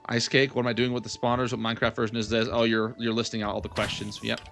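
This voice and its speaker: Young man, crisp-edged bright voice, casual livestream chat, thinking aloud, light background noise. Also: mid-range voice, monotone, fast. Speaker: livestream gamer host. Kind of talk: casual chat while gaming, answering chat.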